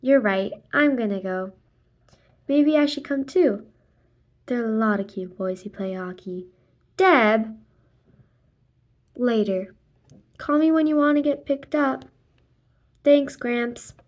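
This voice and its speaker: A woman reading a children's graphic novel aloud expressively, acting out the dialogue and sound words in a deliberate way. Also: a little high. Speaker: read-aloud narrator.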